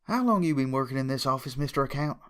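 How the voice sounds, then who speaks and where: A man's voice: medium pitch, expressive, fast, clear, resonant tone, natural voice. A man voicing a blunt, honest country mare pony, plain-spoken and serious. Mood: grave. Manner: plain-spoken, direct, folksy.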